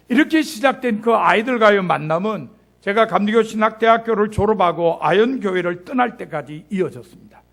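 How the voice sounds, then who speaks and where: A middle-aged male pastor preaching a sermon, telling a personal story with lively, rising and falling emphasis. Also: sits high, gravelly.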